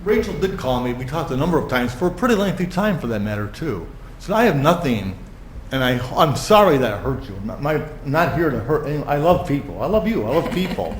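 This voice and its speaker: A man's voice: mid-range voice, sing-song, a little fast. A man speaking at public comment in a village board meeting, emphatic and heartfelt, leaning on certain words and repeating himself. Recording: echoey meeting room, faint hiss.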